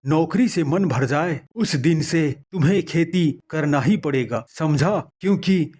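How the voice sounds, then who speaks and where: A man plays an older village farmer, a patient and firm father in a moral story. His voice sits fairly high for a man. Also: animated, unhurried, darkish tone. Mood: firm, persuasive. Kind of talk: acted moral-story dialogue.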